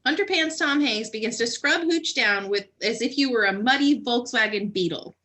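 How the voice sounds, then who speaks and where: A woman's voice: a little high, normal speed, pushed and bright. A woman comedian narrating a scripted comic retelling of a story.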